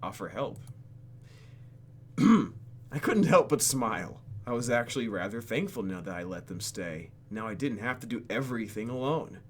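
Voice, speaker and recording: Guy doing a let's play, sarcastic asides while reading, big swings in pitch, bit of hiss. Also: moderate pace. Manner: animated, sarcastic, exclaims and mutters. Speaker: YouTube gaming host. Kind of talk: let's play, reading game text aloud with joking asides.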